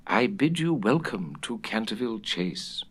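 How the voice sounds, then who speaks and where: A man voicing an old female housekeeper in a storybook, with a low, hushed voice and a grave, old-fashioned manner. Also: very animated, measured pace, husky, full character voice. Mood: ominous, mysterious.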